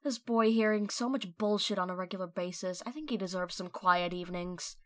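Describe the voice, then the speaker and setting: A woman's voice: mid-range voice, fairly quick, fairly bright tone. A woman reading fan questions aloud in a casual style for a comic dub. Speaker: reader of fan messages.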